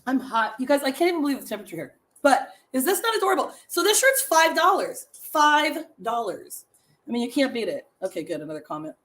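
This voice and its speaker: Middle-aged woman, raspy voice, a touch high; casual live-stream host reading trivia to viewers. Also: very animated, a little fast, fairly bright tone. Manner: chatty, laughs often, reads facts and stories to viewers.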